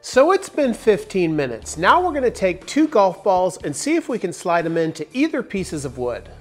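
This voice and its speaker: A man in his forties talks through a woodworking project step by step, upbeat and clear. His pitch swings lively from line to line, with soft music playing faintly behind him in a big indoor workshop. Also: normal speed. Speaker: woodworking channel host. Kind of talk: workshop tutorial narration. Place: large wooden workshop, indoors.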